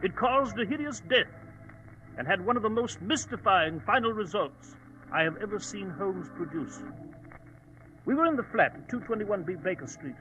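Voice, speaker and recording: An actor playing Doctor Watson, an earnest, formal gentleman companion, in a dramatic 1940s radio style. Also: high voice, expressive, normal speed, slight background noise, poor audio. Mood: grave storytelling. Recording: old radio broadcast with music.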